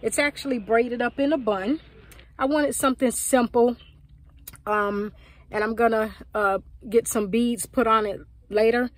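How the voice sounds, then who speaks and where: A woman in her forties talking casually to her phone in a parked car, rambling and animated, gesturing with her hands. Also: a little high, unhurried. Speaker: vlogger. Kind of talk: casual chatty vlog. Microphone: phone at arm's length.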